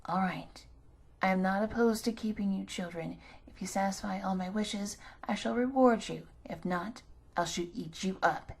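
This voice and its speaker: A woman's voice: normal speed, vocal fry, darkish tone, husky. A woman voicing a wicked old child-eating witch in a storybook, with a menacing delivery. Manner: booming then low and menacing.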